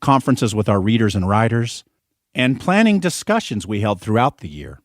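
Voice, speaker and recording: An American man reads instructional audiobook narration with plenty of movement in his pitch. His delivery is orderly and explanatory. The recording is dry, without audible room. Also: very animated, moderate pace, no room sound.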